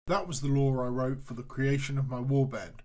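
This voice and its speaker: A man's voice: mid-range voice, fairly even intonation, normal speed, mellow. A man narrating a hobby showcase video in a casual, frank and blunt way. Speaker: hobby channel host.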